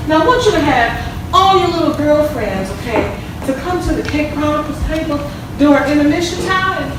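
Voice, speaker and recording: Confident female counselor for a stage play, played by a woman: high-pitched, instructive, emphatic, heard from afar in a reverberant theater. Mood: emphatic, persuasive. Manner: emphatic, instructive, repeats commands. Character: confident, preachy female relationship counselor with a self-help book. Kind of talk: live stage-play acting. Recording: echoey theater hall, distant mic, noisy.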